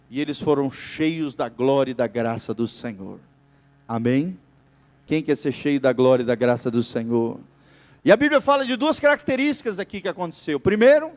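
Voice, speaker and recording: A man with a Brazilian Portuguese accent preaching a sermon as pastor, asking his congregation for "amém" and swinging between loud emphatic bursts and quiet stretches. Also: high-pitched. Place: church.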